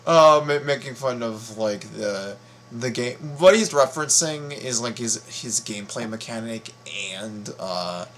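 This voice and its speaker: A man giving casual, excitable fan commentary in a halting, repetitive way, full of exclamations. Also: mid-range voice. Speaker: YouTube reactor and fan commentator.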